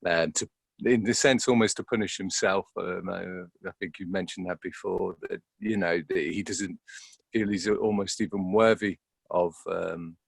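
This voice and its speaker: A man's voice: deep voice, steady tone, moderate pace, gravelly, husky, dry recording. A man being interviewed as an actor, speaking reflectively and hesitantly, searching for words and repeating himself.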